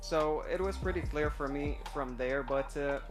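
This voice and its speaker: A nervous young man from Iraq with a voice a bit higher than most, stumbling through his story with fillers and restarts. Faint music plays behind him. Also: normal speed. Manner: hesitant, full of fillers and restarts; nervous, admits stage fright. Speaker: caller on a live stream. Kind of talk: casual personal story.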